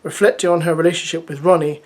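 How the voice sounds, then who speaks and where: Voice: medium pitch, flat delivery, fast. A vlogger who reads a reality show episode preview and then gives chatty, opinionated, exasperated asides.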